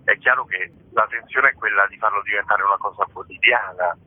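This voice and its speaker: A man, a festival organizer, giving a measured, abstract explanation as a guest in a radio interview. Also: on the low side, no echo. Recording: tinny phone line.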